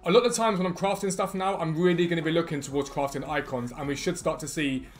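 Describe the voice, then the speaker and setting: A man in his thirties with a slightly croaky voice, chatting quickly and eagerly to viewers as a gaming YouTuber, recorded at a desk in a room at home. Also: fairly high pitch, steady tone. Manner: rapid, chatty, enthusiastic. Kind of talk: energetic casual chat to viewers.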